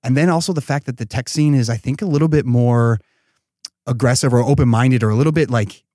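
A man with a medium-pitched voice talks casually as an interview guest. He sounds enthusiastic and slangy, leaning on conversational fillers like "you know." The sound is dry, with very little room to it. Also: brisk, mellow, dry recording. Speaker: podcast guest, startup founder.

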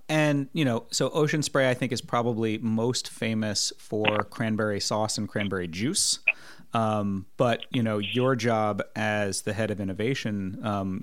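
A man hosting a podcast, reading a measured intro and then asking questions with some hesitation. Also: fairly low pitch, no echo. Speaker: podcast host. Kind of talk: scripted intro then casual interview.

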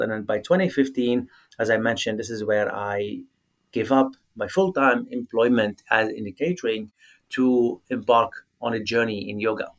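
A middle-aged man from Lebanon with a Lebanese accent and Arabic as his first language, answering interview questions earnestly and haltingly as he searches for words. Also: mid-range voice. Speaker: yoga teacher, guest.

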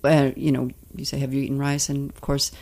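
A woman's voice: a bass voice, completely flat delivery, a little fast, a little mellow. A woman hosting a podcast interview, asking questions in a conversational, somewhat hesitant way. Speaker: podcast host. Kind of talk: casual interview.

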